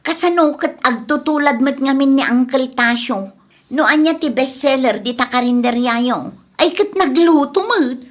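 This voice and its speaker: Woman acting a nosy matchmaker, teasing and gossipy, highish voice, radio comedy. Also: animated, normal speed. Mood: gossipy, amused.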